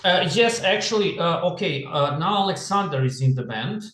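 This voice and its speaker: A man from Serbia, answering interview questions in English over a video call, halting and full of "you know". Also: on the high side, lively intonation, fairly bright tone, reverberant. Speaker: band member.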